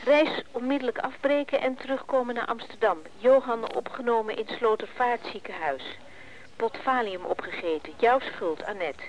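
A woman's voice: a little high, normal speed, a little hiss or hum, lightly acted, low-quality recording. A woman playing an eager, obliging confidante on the telephone in a radio play, questioning and businesslike. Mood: concerned.

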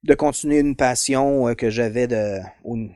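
A man's voice: medium pitch, moderate pace, dark tone, no room sound, studio quality. A man in his thirties with a Quebec French accent, chatting as a podcast guest about his hobbies, hesitant with many fillers. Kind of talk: casual interview chat.

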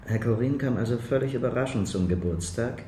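Actor as mellow, formal police interrogator. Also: male voice, mid-range voice, brisk, roomy sound, a little hiss or hum, close to an everyday voice. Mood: probing, formal. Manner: terse, probing, matter-of-fact. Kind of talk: radio drama dialogue.

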